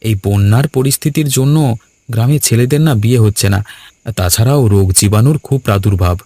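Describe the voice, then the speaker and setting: A man's voice: medium pitch, fairly quick, warm tone, no echo, lightly acted. A man playing a brash, quarrelsome young villager in a cartoon, talking bluntly and goading in colloquial speech. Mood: scheming, eager. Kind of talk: Bengali cartoon dialogue.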